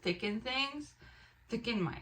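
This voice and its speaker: A woman vlogger chatting casually and excitedly to the camera, animated and rambling with expressive asides. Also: a little high, measured pace, slight background noise. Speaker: book haul vlogger and fiction author.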